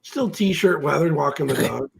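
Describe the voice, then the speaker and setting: A man with a fairly high, gravelly voice, full of vocal fry. He chats casually and drops dry, deadpan wisecracks. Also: moderate pace, a crowd in the background. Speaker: livestream participant. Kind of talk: casual chat.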